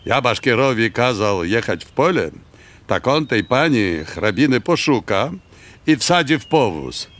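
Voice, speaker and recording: An actor playing a blunt, folksy Russian major in a radio play, speaking halting, foreign-tinged Polish. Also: male voice, mid-range voice, big pitch swings, a bit bright. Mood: blunt, matter-of-fact.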